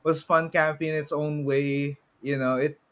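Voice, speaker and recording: A man's voice: fairly high pitch, flat delivery, moderate pace, a bit bright. A man co-hosting a casual pop culture podcast, often repeating and rephrasing as he goes. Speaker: podcast co-host.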